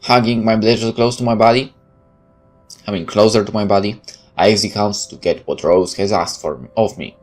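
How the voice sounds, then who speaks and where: A woman's voice: very deep voice, fairly even intonation, normal speed, a little mellow, faint music behind. A woman, a native Polish speaker, reading game narration aloud and commenting casually as a streamer, often stumbling and correcting herself. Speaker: let's play streamer.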